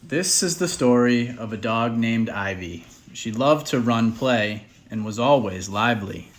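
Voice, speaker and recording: A man with a medium-pitched voice reading a children's picture book aloud. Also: animated, unhurried. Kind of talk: read-aloud children's story.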